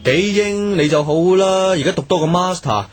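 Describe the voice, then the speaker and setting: Guy playing a casual young buddy in a radio play, voice a bit high, kinda doubtful. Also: normal speed, soft background music, close to an everyday voice. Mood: friendly, admiring. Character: casual, doubtful young male friend at graduation. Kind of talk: Cantonese radio drama acting.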